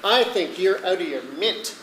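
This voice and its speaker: A woman's voice: fairly low pitch, expressive, normal speed, fairly bright tone. A woman playing a reluctant, exasperated actor in an amateur stage comedy, protesting in disbelief and dreading ridicule. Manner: protesting, incredulous. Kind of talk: live amateur one-act stage comedy. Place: indoor theatre stage. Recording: echoey, noisy live theatre recording.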